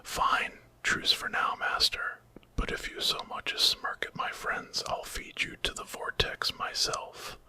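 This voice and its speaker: A man voicing a brisk, eccentric time-traveling hero in a breathy whispered ASMR story. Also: lightly acted, rough recording. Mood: stern. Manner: brisk, commanding.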